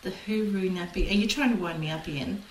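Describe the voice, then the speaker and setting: A woman's voice: on the low side, brisk, distant mic, a crowd in the background. A woman with an Australian accent chatting casually as a podcast co-host. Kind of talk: casual chat. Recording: roomy with faint noise.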